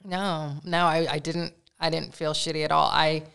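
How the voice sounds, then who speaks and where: A middle-aged woman, a podcast guest, telling stories in a chatty, animated way. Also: deep voice, normal speed, vocal fry, clean tone. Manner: chatty, animated storytelling with laughs. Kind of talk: casual conversational storytelling.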